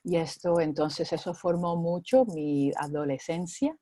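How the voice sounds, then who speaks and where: A woman's voice: on the low side, unhurried, warm tone, no echo. A middle-aged woman with a Cuban accent, a guest in an interview, talking in a chatty way with frequent fillers. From Cuba. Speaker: guest in ministry. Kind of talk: conversational interview.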